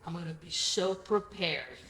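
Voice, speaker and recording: A woman from Greece, Greek her first language, telling her story as an interview guest with lively acted-out dialogue. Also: fairly low pitch, a little slow, slight background noise. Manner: animated storyteller, acts out quoted dialogue, frequent "I'm like". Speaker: guest, real estate investor. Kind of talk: casual interview chat. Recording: echoey room with faint ambience.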